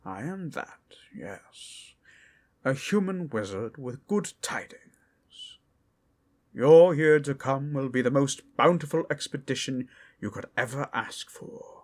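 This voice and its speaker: A man voicing a mysterious male human wizard who speaks theatrically, dropping to hushed tones. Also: mid-range voice, lively intonation. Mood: grand. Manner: theatrical, slow and hushed at times.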